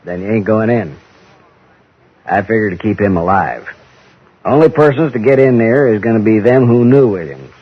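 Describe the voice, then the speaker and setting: Stubborn frontier sheriff, American Western accent, low raspy voice, terse and folksy, old radio western. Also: male voice, lively intonation, moderate pace, pauses often. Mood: stubborn, firm. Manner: terse, drawling rustic speech. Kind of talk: old-time radio drama acting. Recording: dull, muffled old radio recording.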